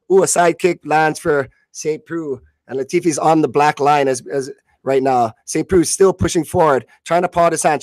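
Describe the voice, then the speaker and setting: A man's voice: fairly high pitch, a little mellow, no room sound. A man giving live radio play-by-play of a fight as commentator, often stumbling and correcting himself mid-phrase.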